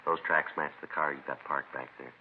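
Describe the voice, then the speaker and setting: A man playing a calm, dogged police detective in a 1950s radio crime drama, matter-of-fact and clipped. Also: extremely low pitch, brisk, creaky. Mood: dry, official. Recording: tinny, noisy vintage radio recording.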